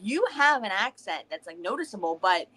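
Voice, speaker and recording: Bright-voiced chatty American woman. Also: medium pitch, animated, a little fast. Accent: US Northern with a slight Southern touch. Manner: agreeable, frequent "yeah", rambling. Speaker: book club co-host. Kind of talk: casual livestream chat.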